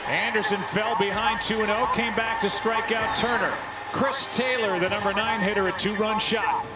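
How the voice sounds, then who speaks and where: A man's voice: high voice, fairly even intonation, moderate pace, loud music behind the voice, rough recording. An American man doing live baseball play-by-play, announcing counts and stats in clipped phrases. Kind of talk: live sports commentary.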